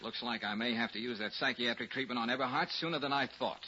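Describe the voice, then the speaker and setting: An actor playing a brisk, wisecracking tough private detective in a 1940s radio drama. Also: male voice, mid-range voice, loud music behind the voice, natural voice. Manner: wry, slangy, hard-boiled. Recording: muffled, hissy 1940s radio recording.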